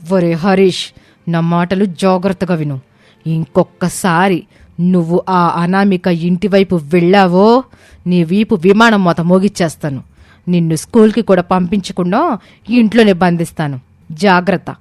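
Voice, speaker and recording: A woman's voice: on the low side, moderate pace, a little mellow, no room sound, close to an everyday voice. A woman voicing an arrogant, angry young mother in a family story, scolding and accusing. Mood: threatening. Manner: scolding, accusing, rhetorical questions. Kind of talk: dramatized Telugu family story acting.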